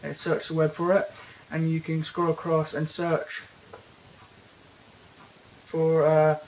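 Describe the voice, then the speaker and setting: A young British man casually walking through a phone's features in a bedroom, talking haltingly with many fillers and restarts. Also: a little high, monotone, darkish tone, poor audio. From Britain. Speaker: tech reviewer. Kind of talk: casual hands-on product walkthrough. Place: indoors, bedroom.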